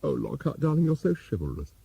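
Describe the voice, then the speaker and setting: A man's voice: mid-range voice, sing-song, a little fast, creaky, warm tone, husky, lo-fi sound, pitched above the performer's own voice, heavily acted. A man voicing a naive, affectionate young wife in an audiobook, with a plaintive, girlish, emphatic manner. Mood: adoring.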